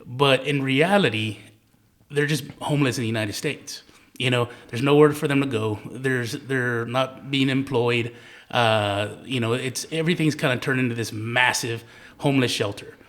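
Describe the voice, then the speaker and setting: Man, mid-pitched voice, podcast host, casual conversation, reflective, tentative phrasing. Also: fairly bright tone.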